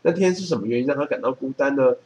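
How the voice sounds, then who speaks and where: A man's voice: mid-range voice, lively intonation, racing, a little mellow. A man with a Taiwanese Mandarin accent speaking casually and colloquially as a podcast co-host. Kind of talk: casual chat, reading book passages aloud. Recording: faint background noise.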